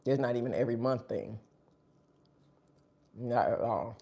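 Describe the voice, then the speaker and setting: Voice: mid-range voice, unhurried, mellow, slightly rough. A live-stream host chatting casually between bites of food, sniffling. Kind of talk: casual live-stream chat while eating.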